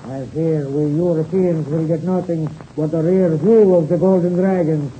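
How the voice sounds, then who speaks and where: An actor playing a slyly flattering, courteous Russian air race pilot with a Russian accent in a 1930s radio serial. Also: male voice, fairly high pitch, normal speed, lightly acted. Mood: oily courtesy. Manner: flattering, repeatedly says "my friend". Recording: muffled, hissy old radio recording.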